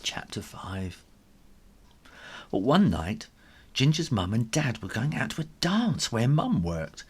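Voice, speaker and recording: A man reading a children's story aloud in an animated, dramatic, drawn-out storyteller's manner. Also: medium pitch, slight background noise.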